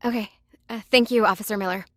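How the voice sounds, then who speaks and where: A woman's voice: mid-range voice, fairly even intonation, fast, fairly bright tone, slight background noise, lightly acted. A woman acting as a frightened, anxious informant in an audio drama.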